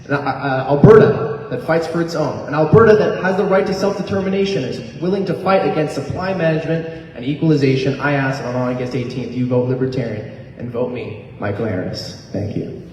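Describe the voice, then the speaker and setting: A man's voice: mid-range voice, fairly quick, darkish tone, a little hiss or hum. A man giving an earnest campaign speech at a debate, hammering on repeated phrases. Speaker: candidate. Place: community hall. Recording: echoey hall, distant mic.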